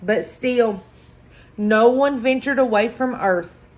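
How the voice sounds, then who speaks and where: A woman reading a children's picture book aloud as a story-time host, deliberate and expressive, pausing for effect. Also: on the high side, rough recording. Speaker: library story-time reader.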